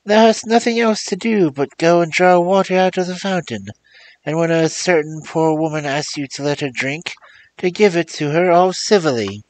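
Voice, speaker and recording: A man voicing a greedy, scolding mother in a read-aloud fairy tale, pushing his voice high and loud. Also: moderate pace, no room sound, lightly acted, rough recording. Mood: coaxing.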